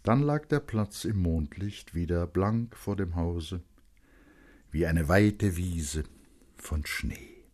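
A man with a husky voice reading literary narration for radio in an expressive, suspenseful manner. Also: deep voice, takes it slowly. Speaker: narrator, reader.

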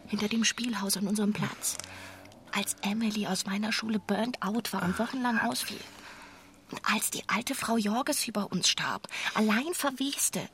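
An actress playing a middle-aged wife, an urgent, persuasive and self-righteous idealist, in a naturalistic radio drama. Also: female voice, mid-range voice, a bit bright, slight background noise, close to an everyday voice. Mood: reproachful, urgent. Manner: insistent, gushing, halting repeats for emphasis.

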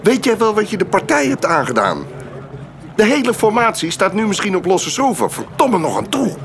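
An actor playing a stern, indignant party politician in a radio drama, scolding and interrogating. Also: male voice, sits high, huge pitch swings, moderate pace, background noise, exaggerated voice. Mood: indignant, accusing.

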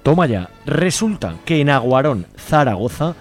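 A man's voice: medium pitch, big pitch swings, normal speed, soft background music. A man with an Aragonese Spanish accent hosts a radio show, talking in a conversational way with colloquial asides. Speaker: radio host. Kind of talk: casual radio chat.

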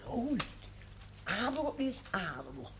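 Spirited village woman, high lively voice. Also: takes it slowly, exaggerated voice. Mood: teasing. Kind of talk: naturalistic period TV drama acting. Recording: 1970s TV audio with faint noise.

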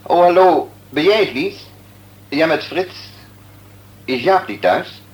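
An actor playing a calm, kindly family doctor in a Dutch radio play, with a reassuring, businesslike bedside manner. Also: male voice, on the high side, slow, slightly rough, exaggerated voice. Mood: brisk. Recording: noisy old radio play recording.

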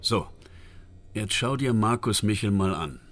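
An actor playing a matter-of-fact police forensic analyst in a radio drama, explaining things in a businesslike way. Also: male voice, on the low side, expressive, measured pace, loud music behind the voice. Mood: focused. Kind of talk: radio drama, technical briefing.

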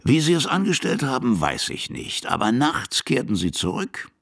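An actor playing an older Russian officer who recounts a past affair in plain, conversational radio drama narration. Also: male voice, mid-range voice, huge pitch swings, normal speed, husky, no echo, studio quality, full character voice. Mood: grim recounting.

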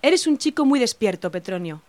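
A woman's voice: on the high side, sing-song, a little fast, darkish tone, dry recording. An actress playing a patronising, self-assured middle-aged mother of the ruling class in an audio drama. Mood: condescending. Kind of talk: scripted audio drama acting.

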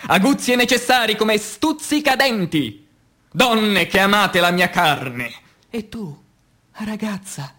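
High-pitched man reciting slowly and theatrically. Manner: declamatory, dramatic pauses, shifting between hushed and emphatic. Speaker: actor reciting poetry. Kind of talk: theatrical radio poetry recitation. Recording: music under the voice. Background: music.

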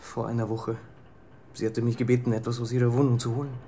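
A man's voice: mid-range voice, flat delivery, fast, dark tone, slight background noise, natural voice. An actor playing a wary, anxious man under police questioning in a radio play, guarded and hesitant. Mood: subdued. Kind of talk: German radio play acting.

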